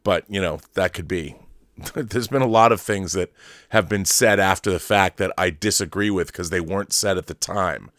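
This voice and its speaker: Low-voiced man, halting and enthusiastic host. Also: a bit bright, no room sound, clean studio sound. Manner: halting, rambling, repeats words while thinking; enthusiastic, opinionated fan. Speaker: host of a film fandom livestream. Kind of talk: casual commentary, answering viewer messages.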